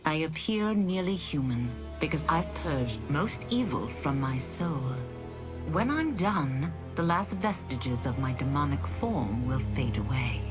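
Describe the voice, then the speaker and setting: A woman's voice: deep voice, big pitch swings, unhurried, music in the background. A woman voicing a penitent, determined demon warrior in a video game, speaking formally and earnestly. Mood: grave, determined. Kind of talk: video game cutscene acting.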